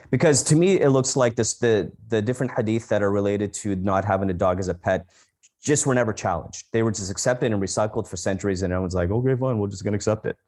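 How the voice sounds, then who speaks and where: Frank, outspoken podcast man, low voice. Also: a little mellow. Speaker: podcast co-host. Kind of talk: casual chat.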